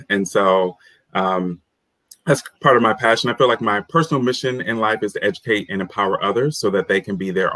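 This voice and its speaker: Low-voiced American man, dry close sound. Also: a bit bright, dry recording, low-quality recording. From United States. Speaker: guest author, career coach. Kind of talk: interview answers.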